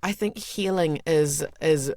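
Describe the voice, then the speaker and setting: A woman with a naturally deep, low voice answers questions as a guest voice actor. She talks openly and thoughtfully, often restarting her sentences. The sound is dry and close. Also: takes it slowly, darkish tone, no room sound. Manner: candid and reflective, with many fillers and restarts. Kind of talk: podcast interview.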